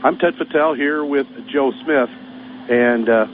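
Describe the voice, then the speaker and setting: Chatty local radio announcer, tinny broadcast. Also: male voice, medium pitch, a little slow. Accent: Midwestern American. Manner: folksy, chatty, reminiscing. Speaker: play-by-play announcer. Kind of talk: radio sports pregame talk. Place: high school gym. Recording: phone-like radio broadcast with faint noise.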